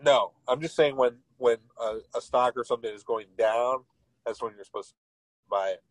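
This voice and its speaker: A man's voice: medium pitch, normal speed, no room sound, rough recording. A man in his thirties bantering and rambling jokingly on a remote call. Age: 30s. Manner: joking, rambling, playfully boastful. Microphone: remote call, signal cutting out.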